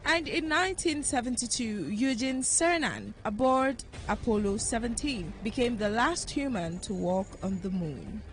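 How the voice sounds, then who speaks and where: High-voiced woman reading history. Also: animated, measured pace, few pauses, slight background noise, low-quality recording. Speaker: radio presenter. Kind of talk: scripted history narration. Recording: faint music bed underneath. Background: faint music.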